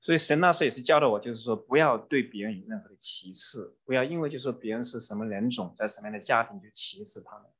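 Male consecutive interpreter for a sermon, husky and mid-pitched, following each phrase, on a muffled dull recording. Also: expressive, very fast, few pauses, fairly bright tone. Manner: renders each phrase promptly, with some fillers.

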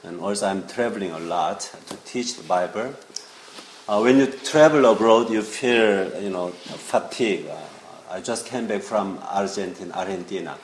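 An older man preaching informally in halting, English, often checking in with his listeners. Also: deep voice. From South Korea. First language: Korean. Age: 50s or older. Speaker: visiting preacher and seminary teacher. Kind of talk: informal sermon to young people. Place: indoor church room. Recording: echoey room with faint noise.

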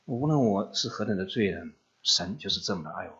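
A man interpreting a sermon live, relaying each phrase quickly and steadily. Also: sits low, expressive, fairly bright tone, breathy edge, muffled recording.

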